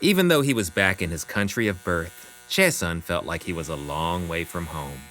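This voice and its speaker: A man's voice: sits low, expressive, normal speed, bright, forceful tone, slight background noise. A man narrating a scripted audio drama in a storytelling style.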